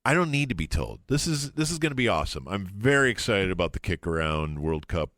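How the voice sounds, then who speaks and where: A man hosting a podcast, upbeat and halting, often repeating his words as he goes. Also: deep voice, very animated, a bit bright, no room sound, studio quality. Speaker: podcast host. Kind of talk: casual podcast chat.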